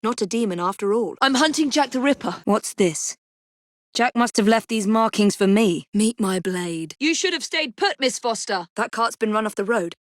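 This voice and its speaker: An actress playing a poised, determined young assassin in a Victorian London video game, with an English accent and a crisp, politely commanding delivery. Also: female voice, on the high side, expressive, moderate pace, dry recording, studio quality, close to an everyday voice. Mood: assertive, investigating. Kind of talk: scripted game voice acting.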